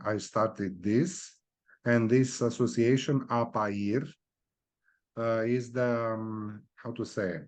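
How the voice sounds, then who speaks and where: A man with a Romanian accent, a guest speaking in a halting way, often searching for words and filling pauses. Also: on the low side, a little mellow. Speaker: guest, real estate executive. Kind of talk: video interview.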